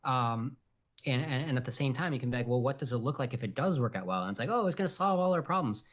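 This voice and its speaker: A man talking quickly as a podcast guest, his voice sitting in an ordinary middle range. He hedges a lot and fills his thoughts with ums and uhs. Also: mid-range voice. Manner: rapid, hedging, many fillers. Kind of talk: casual interview chat.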